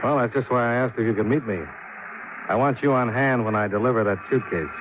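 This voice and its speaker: An actor playing a cool, shrewd insurance investigator in a 1950s radio drama, speaking and narrating in a clipped, dry, matter-of-fact way. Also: male voice, mid-range voice, fairly quick, noisy background, close to an everyday voice. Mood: wry, guarded. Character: cool, shrewd freelance male insurance investigator, wry and self-reliant. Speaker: lead actor and narrator of a radio detective serial. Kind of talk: 1950s radio drama acting, first-person hard-boiled narration. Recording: muffled 1950s radio recording with music.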